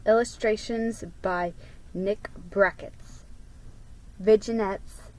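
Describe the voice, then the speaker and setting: A woman reading aloud as an amateur storybook narrator, in a measured way with the odd stumble. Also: medium pitch, steady tone, a little mellow, low-quality recording. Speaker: amateur book reader. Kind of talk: casual introduction and storybook narration.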